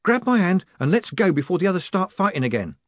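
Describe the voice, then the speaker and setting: A man voicing a nervous young male wizard student who speaks in a hurried whisper, in a dull, dry recording. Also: sits high, sing-song, no room sound, pitched above the performer's own voice. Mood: urgent.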